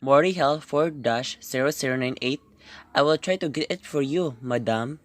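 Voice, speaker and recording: A woman's voice: extremely low pitch, moderate pace. A woman in an amateur radio play acting a polite, procedural telephone operator. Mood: politely puzzled. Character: formal, unhelpful telephone operator. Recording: roomy, noisy amateur recording.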